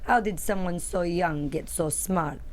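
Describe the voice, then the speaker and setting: A woman's voice: on the low side, measured pace, slight background noise, lightly acted. Blunt, cynical older Russian mobster played by a woman. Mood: knowing. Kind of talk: table read of a screenplay.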